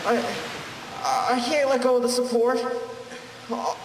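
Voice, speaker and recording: Guy acting as scared worn-out teen boy in a radio play, really high voice, far off and echoey. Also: fairly even intonation, slow. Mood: desperate, pleading. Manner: pleading, desperate. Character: frightened, exhausted fifteen-year-old boy trapped in a well. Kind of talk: audio drama acting. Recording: echoey, distant, with hiss.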